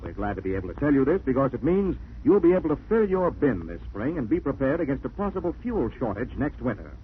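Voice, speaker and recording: Animated American male 1940s radio announcer. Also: mid-range voice, fast. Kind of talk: scripted 1940s radio narration and sponsor pitch. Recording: scratchy, narrow-band 1940s radio recording.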